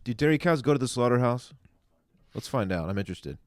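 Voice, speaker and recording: A man's voice: medium pitch, lively intonation, brisk, no echo, clean studio sound. A man co-hosting a podcast in casual, slangy banter. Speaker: podcast co-host.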